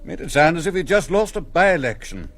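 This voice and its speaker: An actor playing a cynical schoolmaster and politician, dry and cutting, with an educated English accent in radio drama. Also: male voice, a little high, sing-song, fairly quick, fairly bright tone.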